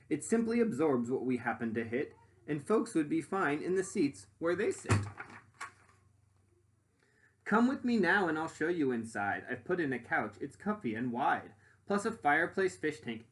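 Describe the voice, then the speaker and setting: A man voicing an eager, boastful boy inventor from a storybook, presenting his dream creation like an excited showman. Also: mid-range voice, natural voice.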